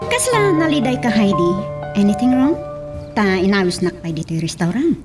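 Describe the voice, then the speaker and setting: Woman acting a lively, sympathetic young friend. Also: medium pitch, normal speed, over loud music, close to an everyday voice. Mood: concerned. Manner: concerned, inquisitive. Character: sympathetic, curious young female best friend. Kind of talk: audio drama acting.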